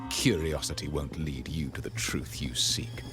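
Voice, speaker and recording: An actor playing a fanatical, gloating cult villain in a video game, speaking in a solemn, grandiloquent, theatrical way. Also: male voice, very deep voice, steady tone, measured pace, music in the background. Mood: menacing calm.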